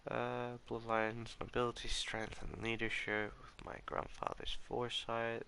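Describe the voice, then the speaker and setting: A man hosting a let's play who sounds slightly nasal from allergies, musing and reading in-game documents aloud in a soft murmur. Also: medium pitch, monotone, measured pace, creaky, slight background noise. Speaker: gaming channel host.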